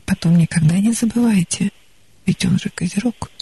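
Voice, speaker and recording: A woman psychologist counselling a caller on a studio radio call-in show, calm and gently advising. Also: medium pitch, moderate pace, vocal fry, noisy background.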